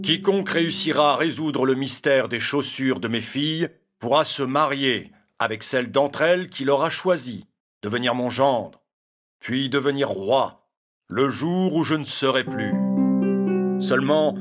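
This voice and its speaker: A man's voice: mid-range voice, measured pace, a bit bright. A dignified cartoon king making formal proclamations, faint guitar music behind him. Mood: solemn, stern. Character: dignified, troubled king, protective father of twelve daughters. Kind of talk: children's fairy-tale cartoon acting.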